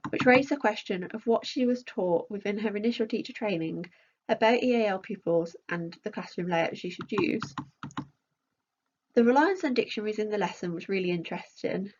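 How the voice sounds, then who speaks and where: A woman's voice: medium pitch, a little mellow, dull audio, a little hiss or hum. A woman reading out a scripted academic conference presentation as a researcher.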